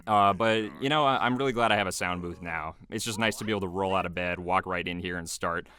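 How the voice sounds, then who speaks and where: A man's voice: deep voice, fast, pushed and bright. A man giving casual behind-the-scenes commentary as a video creator, rambling loosely in a small homemade booth.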